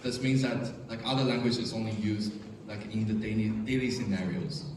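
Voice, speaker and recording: Halting man in an echoey room. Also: fairly low pitch, steady tone, a little mellow, distant mic, slight background noise. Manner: halting, many "like" fillers. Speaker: student.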